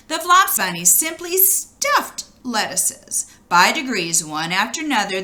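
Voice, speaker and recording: A woman reads a children's storybook aloud with lots of expression. Her pitch moves freely up and down in an animated way, and her tone is bright. She addresses the story to young listeners. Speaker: storybook reader.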